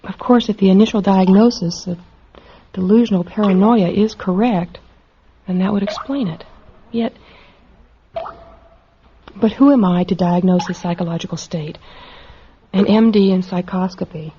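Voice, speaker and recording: A woman's voice: mid-range voice, moderate pace, pauses often, mellow, lightly acted. An actress playing an anxious, dutiful woman doctor in a radio drama, with an introspective, questioning and hesitant manner. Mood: pensive, self-doubting. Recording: muffled lo-fi audio with hiss.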